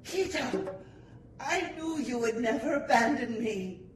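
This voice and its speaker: A woman's voice: a little high, animated, measured pace, music in the background. A woman playing a devout, anguished woman in prayer, speaking solemnly and theatrically in a gothic audio drama. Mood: fervent relief. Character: devout, anguished woman praying to a departed companion. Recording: distant, echoey, noisy amateur recording.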